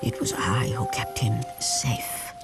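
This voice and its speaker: A woman's voice: very deep voice, steady tone, slow, gravelly, in a whisper, exaggerated voice. A woman playing an ancient female Titan, a grave guiding goddess who narrates in a solemn, portentous way for an epic video game. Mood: regretful, solemn. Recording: loud cinematic score behind. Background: dramatic music.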